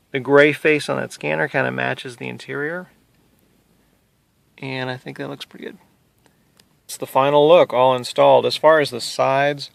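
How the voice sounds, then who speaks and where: Casual man with a gravelly, creaky voice. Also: medium pitch, fairly bright tone, no room sound. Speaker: hobbyist demonstrating a DIY car install. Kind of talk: casual how-to narration.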